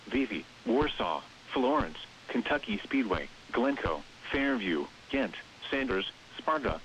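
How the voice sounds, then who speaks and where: A synthetic-sounding male announcer reads scripted weather bulletins and warnings in a flat, evenly phrased delivery. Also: mid-range voice. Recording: hissy, dull radio broadcast with noise. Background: radio hiss.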